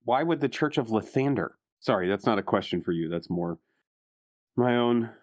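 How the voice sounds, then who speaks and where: A man's voice: lowish voice, animated, normal speed, a little mellow. A man playing a tabletop roleplaying game, improvising conversational in-character remarks. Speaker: player.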